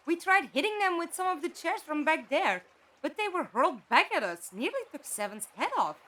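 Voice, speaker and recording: Woman playing a high-voiced, frank, inquisitive captive. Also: very animated, a little fast, full character voice. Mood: animated. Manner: conversational, direct, gently wry. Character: frank, inquisitive female captive, practical and wry. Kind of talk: audio drama acting.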